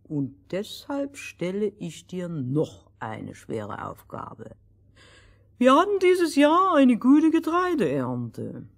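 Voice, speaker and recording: A stubborn, scheming king from a children's fairy tale, acted out by a woman. He speaks in slow, husky tones with pompous authority and draws out his commands. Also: mid-range voice, huge pitch swings, pitched above the performer's own voice, full character voice.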